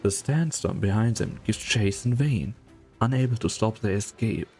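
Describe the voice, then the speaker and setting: A man's voice: on the low side, lively intonation, normal speed, creaky, darkish tone, faint music behind. A man reading scripted story narration as the narrator of a game fan dub.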